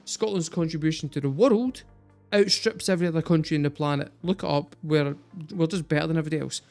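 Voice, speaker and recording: Scottish guy doing a music reaction, casual and sweary, bit of a higher voice. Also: quick pace, gravelly, darkish tone. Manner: chatty, sweary and jokey. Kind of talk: casual chat to camera.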